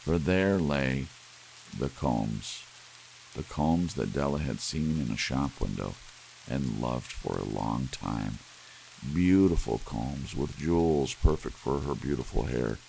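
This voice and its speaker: Man, extremely low voice, creaky fry, soft ASMR storytelling, rain and hiss behind. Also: slow pace, poor audio. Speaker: audiobook narrator. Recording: audible hiss under steady rain sounds.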